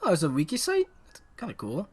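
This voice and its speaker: A man live-streaming a game, giving excitable, casual, slangy commentary and reading on-screen text aloud. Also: high voice, fast, husky.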